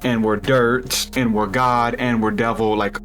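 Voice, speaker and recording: A man with a deep voice hosting a podcast, rambling through a spiritual theory in casual chat with lots of restarts. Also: fairly even intonation, a little slow, fairly bright tone, soft background music. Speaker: podcast host.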